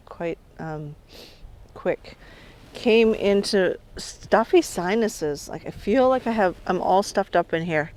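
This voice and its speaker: A middle-aged woman working as a spirit medium, talking calmly outdoors on an island and often trailing off quietly. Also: fairly low pitch. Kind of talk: on-location reality show narration.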